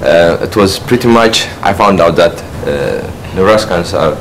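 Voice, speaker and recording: A man from Eritrea giving a halting practice speech about himself, restarting often as he searches for words. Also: low-pitched. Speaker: speech trainee. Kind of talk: practice self-introduction speech. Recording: noisy room ambience.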